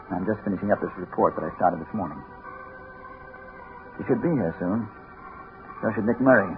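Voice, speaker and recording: A man's voice: sits low, natural voice. An American actor playing a haunted hard-boiled detective obsessed with a woman, in 1950s radio noir style. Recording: noisy, phone-like 1950s radio recording.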